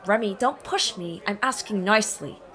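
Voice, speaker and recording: A woman's voice: medium pitch, normal speed, a bit bright, a faint crowd, lightly acted. A woman playing a tough, sardonic female bounty hunter in an audio drama, blunt and teasing. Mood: coolly menacing.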